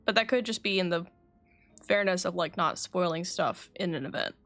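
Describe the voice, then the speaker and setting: A woman's voice: lowish voice, animated, normal speed, fairly bright tone. A woman streaming a game, chatting and reacting in a rambling, informal way. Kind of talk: casual livestream reaction chat. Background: faint game music.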